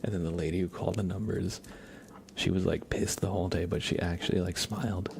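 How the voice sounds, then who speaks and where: A man hosting an ASMR livestream in a hushed, unhurried, rambling way, speaking softly and casually close to the microphone. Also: low-pitched, steady tone, vocal fry, a little hiss or hum. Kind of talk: live ASMR, soft whispering and quiet casual chat.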